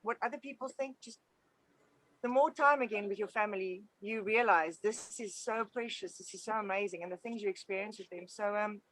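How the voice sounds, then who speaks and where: A woman's voice: medium pitch, a little fast, fairly bright tone, rough recording. A woman whose first language is Afrikaans, a homeschooling mother answering interview questions in an earnest, grateful way, often restarting her sentences.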